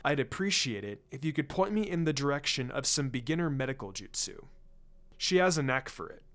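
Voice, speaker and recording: Man playing a candid, low-key ninja teacher. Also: very animated, fairly quick, a bit bright. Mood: candid, approving. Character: laid-back, candid male ninja teacher reporting on students. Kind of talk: storybook character voice.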